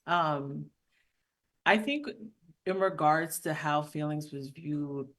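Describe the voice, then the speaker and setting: A woman raised in the Southern US sharing reflections in a class discussion over a video call, halting, with many "um"s. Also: deep voice, fairly bright tone. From Southern United States. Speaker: class facilitator.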